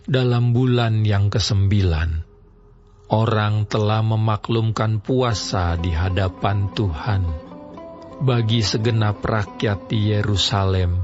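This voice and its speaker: A man narrating a Bible reading in a slow, measured, even manner. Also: fairly low pitch, dull audio. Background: music.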